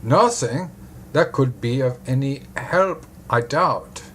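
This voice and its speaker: A man's voice: mid-range voice, lively intonation, slow, fairly bright tone, slight background noise. Man putting on an older German-accented doctor, precise. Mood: measured, formal. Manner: precise, formal explaining.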